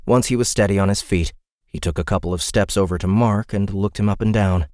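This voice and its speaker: A man's voice: lowish voice, fairly quick, darkish tone, dry recording, studio quality. A man reading dramatic scripted fantasy narration as an audiobook narrator.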